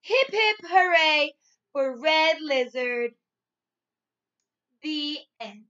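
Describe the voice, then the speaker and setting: High bright lady teacher talking slow and clear to little kids, kinda dull webcam sound. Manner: slow, clearly enunciated, expressive delivery. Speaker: primary school teacher. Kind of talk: read-aloud story and lesson for young children. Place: small furnished room at home, indoors.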